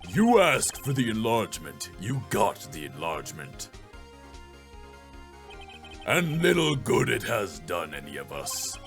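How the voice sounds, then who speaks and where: A man's voice: lowish voice, huge pitch swings, fairly bright tone, faint music behind, voice pushed down, full character voice. A man voicing an arrogant male prosecutor in his sixties who talks in a haughty, cutting way. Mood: contemptuous. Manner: haughty, cutting, slow and deliberate.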